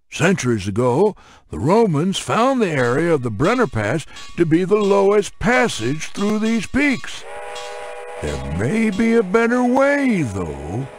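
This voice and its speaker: Animated high-voiced folksy railroad game narrator. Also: male voice, noisy background, exaggerated voice. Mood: dramatic, folksy. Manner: folksy, jocular, dramatic. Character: colorful folksy male railroad mission briefer, wry and jovial. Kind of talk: theatrical scripted game narration.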